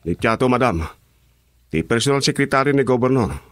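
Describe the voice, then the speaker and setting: A man with an Ilocano accent playing a brisk, deferential aide to a boss in a radio drama. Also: mid-range voice, expressive, a bit bright, no echo, lightly acted.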